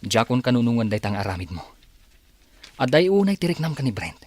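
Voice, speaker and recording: A man playing a protective father in an audio drama, with a husky, earnest, admonishing way of talking. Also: mid-range voice, sing-song, fairly quick. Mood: reproachful. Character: protective, reproachful father defending his adopted son.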